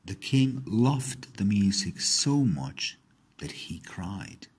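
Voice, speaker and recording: A man narrating a scripted fairy tale in a husky, gravelly voice, with measured, expressive storytelling. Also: on the low side.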